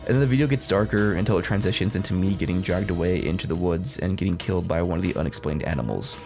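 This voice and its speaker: A man's voice: low voice, fast talker, vocal fry. A man giving casual, scripted video commentary with a blunt, sarcastic manner and frequent swearing. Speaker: video essay host. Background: background music.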